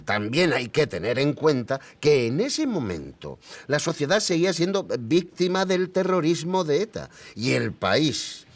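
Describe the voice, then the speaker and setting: A man's voice: a little high, big pitch swings, a little slow, hardly any pauses, dry recording, heavily acted. A man with an accent from Spain playing an academic historian, explaining reflectively with small questioning murmurs. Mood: reflective, explanatory. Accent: Spanish from Spain. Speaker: expert interviewee. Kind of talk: conversational expert interview.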